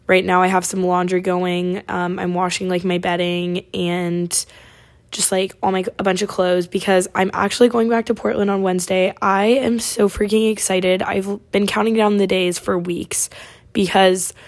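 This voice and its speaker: A college-age woman from Oregon hosting her podcast, chatting casually with a raspy voice and lots of fillers. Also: mid-range voice, level tone, moderate pace. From Oregon, USA. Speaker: podcast host. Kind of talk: casual chat.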